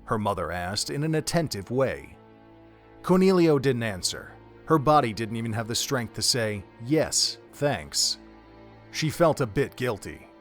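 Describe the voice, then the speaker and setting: A man's voice: mid-range voice, sing-song, unhurried, soft background music. A man narrating a dramatic story from a script, as the narrator of an audio drama.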